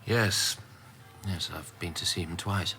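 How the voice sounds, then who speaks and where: An actor playing an ambitious, guarded British politician in a naturalistic radio drama, low-key and wry. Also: male voice, takes it slowly, over music.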